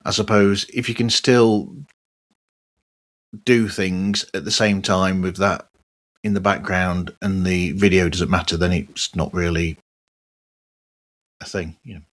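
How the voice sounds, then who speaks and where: A man's voice: sits low, fairly even intonation, a little slow, pauses often, fairly bright tone. A man hosting a podcast monologue in a personal style, dropping wry, sardonic asides as he goes. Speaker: podcast host.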